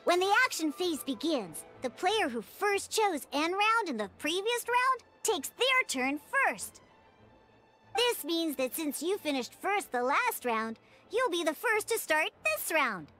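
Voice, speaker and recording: A woman playing a small, bubbly, childlike girl companion in a video game, with a squeaky voice and a perky, encouraging way of explaining. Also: big pitch swings, a little slow, sharp tone, soft background music, full character voice. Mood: cheerful, instructive. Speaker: game tutorial guide. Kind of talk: animated video game character tutorial dialogue.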